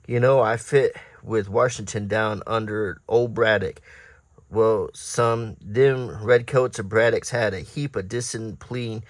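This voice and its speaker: Stubborn frontier militia grumbler, done by a man reading comics, folksy rustic talk, lowish pitch, very dry sound. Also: steady tone, slow pace, dry recording. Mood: grumbling. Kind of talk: comic-strip read-aloud.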